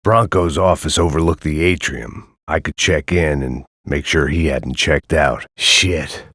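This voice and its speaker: An actor playing a world-weary, sardonic middle-aged ex-cop, delivering a dry, deadpan hard-boiled game monologue. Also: male voice, very deep voice, measured pace, husky, dry recording, exaggerated voice. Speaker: game protagonist.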